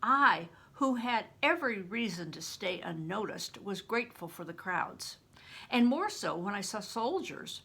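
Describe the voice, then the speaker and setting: Older woman reading aloud, slightly raspy voice. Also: medium pitch, sing-song, a little slow, pushed and bright. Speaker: storybook reader, retired reading teacher. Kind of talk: expressive scripted audiobook narration.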